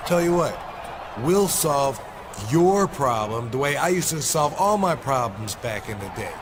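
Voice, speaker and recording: A man's voice: medium pitch, sing-song, unhurried. A man playing a cocky, laid-back wrestler in a video game. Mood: challenging. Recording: very noisy background.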